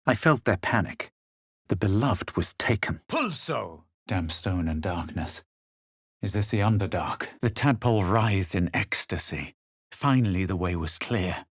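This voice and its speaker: A man voicing a self-assured, sardonic male adventurer in a fantasy video game, with a measured, superior delivery. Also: deep voice, animated, no echo, clean studio sound. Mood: forceful incantation. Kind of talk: video game voice lines, narration and spell shouts.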